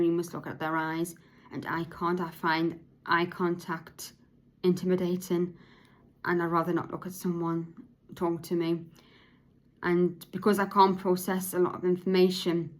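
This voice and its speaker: Woman, lowish voice, halting speech with restarts, at times monotone. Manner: says her voice is sometimes monotone; halting, with frequent restarts and repeated words. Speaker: podcast host.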